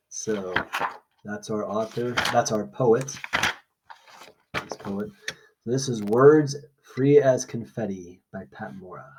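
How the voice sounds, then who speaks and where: A man's voice: mid-range voice, mellow, low-quality recording. A man teaching an informal lesson, reading aloud and explaining things in a plain instructional way. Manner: explanatory, checks understanding with short questions.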